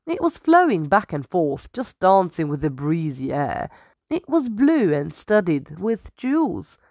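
Very animated, slow-paced woman storyteller. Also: lowish voice, no echo, clean studio sound. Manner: dramatic, deliberate emphasis. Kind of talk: scripted children's story read-aloud.

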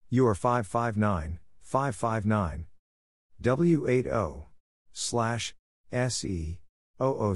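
A man narrating practice radio exchanges from a script, reading short phrases in a measured, deliberate way and repeating them. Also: mid-range voice, husky, dry recording, clean studio sound. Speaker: narrator of Morse code practice drills.

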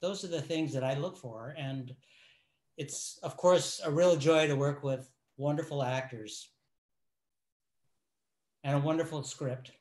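Slow, measured older man. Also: on the high side, a little mellow. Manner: measured, thoughtful. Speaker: film producer and editor. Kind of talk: reflective Q&A answer. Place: living room at home. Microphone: laptop webcam on a video call. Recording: roomy video call audio.

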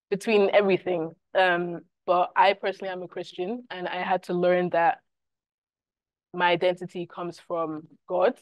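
Soft-spoken Scottish woman moderating a panel. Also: lowish voice, no echo, lo-fi sound. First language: German. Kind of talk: panel discussion. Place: university classroom, indoors.

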